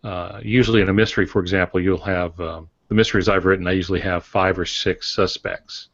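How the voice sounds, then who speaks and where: Man, very deep voice, podcast co-host, measured, hesitant, dry lo-fi audio. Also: steady tone, a bit bright, no room sound. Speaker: podcast co-host and mystery writer. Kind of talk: casual podcast discussion on writing craft.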